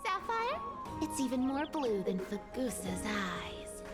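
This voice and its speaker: A woman's voice: a little high, wildly swooping pitch, takes it slowly, loud music behind the voice, full character voice. A woman playing a cheeky, playful young female catlike thief in a video game, with a showy, teasing manner. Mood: showing off.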